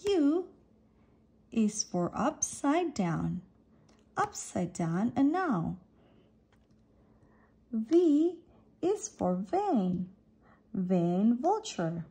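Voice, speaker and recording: A woman reading an alphabet picture book aloud for children, deliberately setting apart each letter and phrase. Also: on the high side, sing-song, dark tone, husky.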